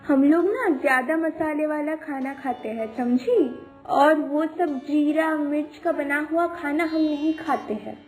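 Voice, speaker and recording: A woman's voice: high-pitched, unhurried, few pauses, a little mellow, faint music behind. A woman playing a whiny, indignant younger sister-in-law who complains sarcastically and melodramatically in a cartoon story. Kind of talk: Hindi animated moral story acting.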